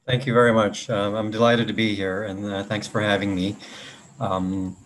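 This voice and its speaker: A man in his sixties from Kenya, a podcast guest telling his life story in a halting way with many fillers and restarts. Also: on the low side, flat delivery, a bit bright, slight background noise, low-quality recording. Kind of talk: casual interview.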